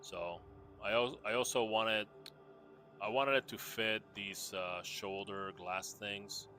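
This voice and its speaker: A man from Puerto Rico with a low voice that still has a bright, forward edge talks through his game builds in a relaxed, meandering way. He speaks slowly, circling back with fillers and restarts. Soft music plays faintly underneath. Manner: rambling, laid-back, many fillers and restarts. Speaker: gamer showing off character builds. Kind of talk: casual game showcase chat.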